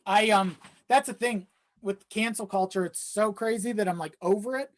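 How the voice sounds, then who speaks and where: A man's voice: high-pitched, normal speed. A man chatting casually as a podcast guest, rambling along and acting out his own asides.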